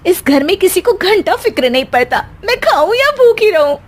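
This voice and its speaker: A woman plays a feisty young daughter-in-law in an animated family story. The voice is high and swings up and down, indignant and sarcastic, with music underneath. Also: moderate pace. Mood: grumbling. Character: feisty, bickering young Indian daughter-in-law, quick to sulk. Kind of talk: animated cartoon story dialogue.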